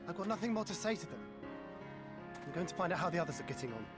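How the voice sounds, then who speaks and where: Quick, curt young man played by an actor. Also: on the high side, animated, over loud music, natural voice. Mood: sulky, curt.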